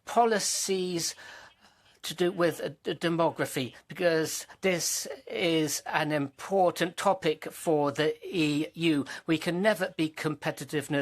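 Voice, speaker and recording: A conference interpreter rendering a speech into English, halting with frequent restarts and fillers. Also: medium pitch. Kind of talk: simultaneous interpretation.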